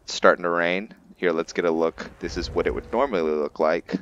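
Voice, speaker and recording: A man's voice: low voice, a little fast, a bit bright, highs cut off, slight background noise. A man hosting a gaming video, giving casual roleplay commentary in a musing, thinking-aloud manner.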